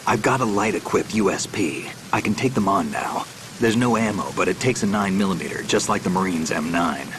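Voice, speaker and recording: A man's voice: sits low, brisk, close to an everyday voice. An actor playing a tough, terse male soldier on a stealth mission, talking in clipped, matter-of-fact lines in a video game cutscene. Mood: level. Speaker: special operative. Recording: game audio over steady rain noise. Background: rain.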